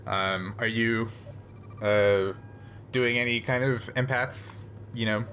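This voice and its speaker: Casual male game master, mid pitch, halting narration, slight background noise. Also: fairly even intonation, fairly bright tone. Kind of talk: tabletop actual play narration and casual chat.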